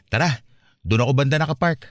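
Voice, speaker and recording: Actor as an earnest young Filipino man, mid-pitched. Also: normal speed, no room sound, studio quality, soft background music, natural voice. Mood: hopeful. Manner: sincere, confiding. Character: troubled, earnest young man reconciling with his ex. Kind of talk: Filipino radio romance drama acting.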